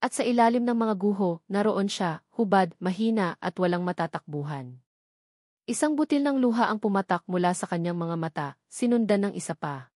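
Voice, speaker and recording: A woman's voice: mid-range voice, lively intonation, normal speed, darkish tone, no room sound. Woman narrating dramatically, dry close sound. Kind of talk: dramatic scripted romance story narration in Tagalog audio drama format.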